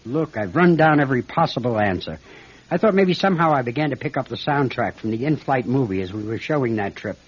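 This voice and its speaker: An American airline captain in an old radio play, a man of earnest, clipped speech and troubled resolve. His voice is husky and mid-pitched. The recording is dull and lo-fi. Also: animated, fast. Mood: tense, insistent. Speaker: radio drama actor. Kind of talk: classic radio drama acting.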